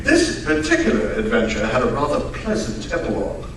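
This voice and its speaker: A man playing a genial gentleman storyteller, a detective's companion, in measured old-time radio drama narration. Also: medium pitch, wildly swooping pitch. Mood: genial, storytelling. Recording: echoey hall from far off, faint noise.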